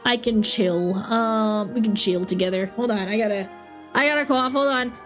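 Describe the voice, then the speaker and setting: A woman's voice: a little high, normal speed, faint music behind. A sick, sniffly young woman rambling and giggling in casual livestream chat at home. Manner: rambling, giggly, self-interrupting. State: sick with a cold. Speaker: streamer.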